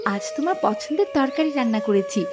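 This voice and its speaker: Lady doing kind helpful young girl in a cartoon, highish sweet voice, music behind. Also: lively intonation, brisk. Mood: cheerful, caring. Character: kind, dutiful, caring young village girl. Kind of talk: cartoon story voice acting.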